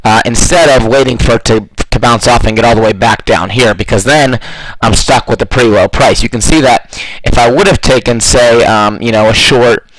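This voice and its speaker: A man with a slightly hoarse voice, walking through trading charts in a loose, casual way with lots of fillers. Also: medium pitch, normal speed, fairly bright tone, no room sound, rough recording. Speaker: forex trading analyst.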